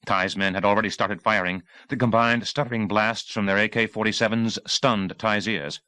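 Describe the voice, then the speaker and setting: A male audiobook narrator with a low voice delivers dramatic action prose. His phrasing is intense and deliberate, falling in short bursts. The sound is dry, with very little room on it. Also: fairly even intonation, sharp tone, no room sound.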